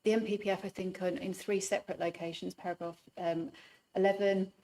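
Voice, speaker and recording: A soft-spoken woman making a formal statement at a public hearing, pausing often with hesitant ums. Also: mid-range voice, flat delivery, low-quality recording. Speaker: hearing participant.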